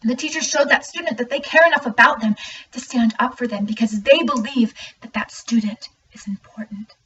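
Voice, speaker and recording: A woman's voice: on the high side, a little fast, a bit bright, lightly acted. A young woman playing an earnest student who delivers a scripted dramatic monologue with emphasis. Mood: earnest, hopeful. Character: earnest student speaking out about teachers. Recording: muffled, distant mic, faint noise.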